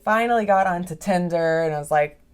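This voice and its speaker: A woman's voice: on the low side, a little fast, clear, resonant tone. A young woman talking casually as a podcast guest, chatty, laughing often and filling her talk with "like.". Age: young adult. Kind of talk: casual interview chat. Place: video call. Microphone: video call.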